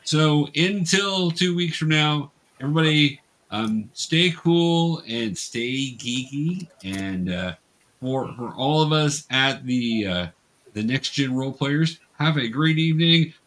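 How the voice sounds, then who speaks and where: Man game master, halting and patient. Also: a little high, a bit bright. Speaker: game master and show host. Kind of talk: casual explaining while running a tabletop game. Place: remote video call.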